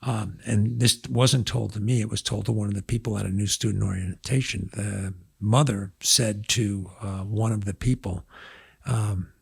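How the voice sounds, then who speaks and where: An older man, hoarse, telling stories in a relaxed podcast interview with many pauses and fillers. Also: fairly low pitch, no room sound, studio quality. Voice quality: gravelly, husky. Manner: reflective storyteller, halting with fillers. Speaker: university president.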